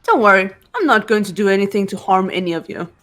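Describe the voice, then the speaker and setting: Guarded young female game character, played by a woman: mid-pitched, composed, measured, enigmatic. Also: animated, fairly bright tone, soft background music, lightly acted. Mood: guarded, calm. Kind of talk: fan-voiced game dialogue.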